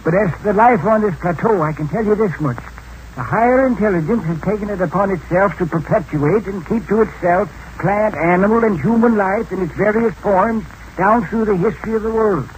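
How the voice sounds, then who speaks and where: A mysterious old hermit of the wilds, played by a man, folksy and philosophical, his drawl set fairly high. He sounds like an old radio broadcast with a faint hiss behind him. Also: a little fast, close to an everyday voice, low-quality recording. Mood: musing. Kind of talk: 1940s radio acting.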